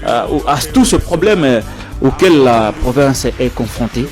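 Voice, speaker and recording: A man's voice: medium pitch, animated, measured pace, darkish tone. A man hosts a political interview. He talks conversationally, slipping in short prompts and questions. Faint music sits in the recording. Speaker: interviewer, host.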